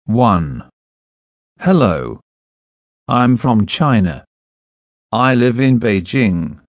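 A man reading short scripted sentences for a language listening test, deliberate and clearly enunciated. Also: lowish voice, big pitch swings, no room sound, lo-fi sound.

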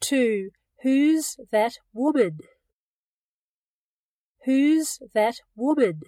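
A woman reading English listening-test items with careful, deliberate enunciation, recorded dry and close. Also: sits high, animated, dark tone, dry recording. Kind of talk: scripted slow test reading.

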